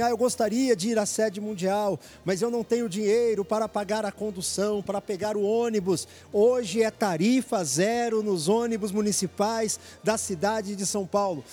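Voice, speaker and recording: A man's voice: sits high, warm tone. A man hosting an evangelical radio program from a church headquarters, preaching with fervent urgency.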